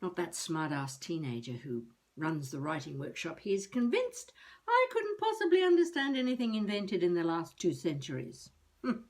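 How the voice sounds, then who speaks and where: A woman playing a feisty elderly would-be novelist, rambling wryly and haltingly through a comic monologue as a video diary. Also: lowish voice, big pitch swings, darkish tone. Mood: wry musing. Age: older. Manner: wry, musing, halting, talking to herself.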